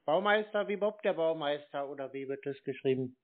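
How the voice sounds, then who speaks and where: A man's voice: on the high side, a little fast. Laconic man on a tinny phone line. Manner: laconic, feigned naive questioning. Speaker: prank call recipient playing along. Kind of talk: casual phone chat.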